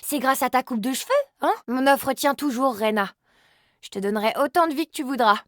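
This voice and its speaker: A woman's voice: sits high, a little fast, dry recording, studio quality, lightly acted. A woman voicing a cheeky young immortal agent in a video game, playful and sarcastic with casual, slangy delivery. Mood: playful, teasing. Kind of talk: video game character voice lines.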